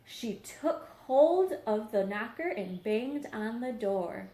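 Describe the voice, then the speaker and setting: A woman's voice: a little high, lively intonation, slow pace, mellow, slight background noise. A woman teacher reading a story aloud to young children, explaining things simply and checking in with questions. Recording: roomy, echoing home recording.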